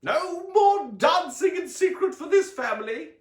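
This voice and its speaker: A man voicing a gleeful, bossy aunt with loud, drawn-out theatrical exclamations for a children's storybook. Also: extremely high pitch, lively intonation, roomy sound, voice pushed up.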